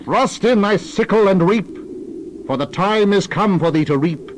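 A man's voice: high-pitched, unhurried, slight background noise, pitched above the performer's own voice. A man voicing a mighty angel who proclaims judgment in a solemn, urgent, declamatory way in a dramatized scripture reading. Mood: thundering.

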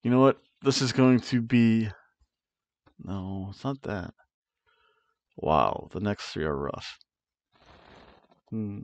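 Slow, playful man on a headset mic. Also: on the low side, animated, dry recording. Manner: playful, rolls R's on wrestler names, hums dramatic tunes. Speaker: wrestling review host. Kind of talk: casual predictions chat to camera. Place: small home room with bookshelves, indoors. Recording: dull lo-fi dry sound.

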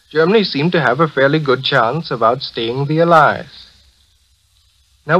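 A man giving a formal, measured scripted commentary as an analyst on a 1940s radio broadcast. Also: fairly high pitch, a bit bright, no room sound, highs cut off. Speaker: foreign policy analyst, radio speaker. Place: radio studio.

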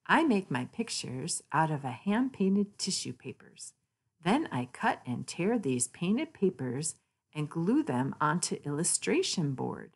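A woman reading a children's picture book aloud, naming things deliberately with sing-song emphasis. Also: low-pitched, sing-song, slightly rough. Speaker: read-aloud channel host.

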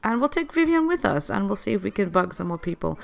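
A woman's voice: medium pitch, sing-song, quick pace, creaky. A woman with a cold, chatting through a life-sim game and talking to the characters. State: has a cold. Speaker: gameplay host. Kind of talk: casual gameplay commentary.

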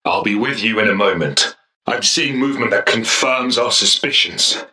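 An actor playing an armored sci-fi commander piloting a war mech in a video game, with a clipped, commanding military delivery. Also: male voice, lowish voice, normal speed, pushed and bright, far from the mic, poor audio. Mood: alert, businesslike. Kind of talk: scripted video game voice acting.

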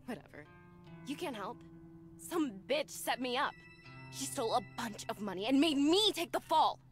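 A woman's voice: sits high, lively intonation, moderate pace. A woman voicing a blunt, impatient young woman in a visual novel, with a curt and sarcastic manner. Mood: curt, irritated. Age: young adult. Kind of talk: visual novel character reading. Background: background music.

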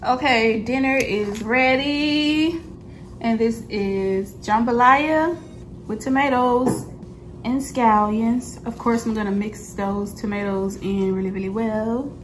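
A woman's voice: a little high, slow, faint music behind. A woman in her thirties chatting informally in a casual home vlog, recorded indoors on a handheld phone. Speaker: vlogger.

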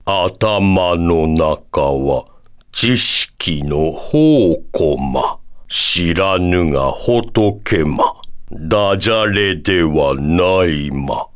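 Very deep, slow old sage. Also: male voice, expressive, heavily acted. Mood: teasing, dignified. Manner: measured, ends sentences with a quirky verbal tic. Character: wise, proud elderly bear-like sage, male, calm. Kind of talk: video game character voice acting.

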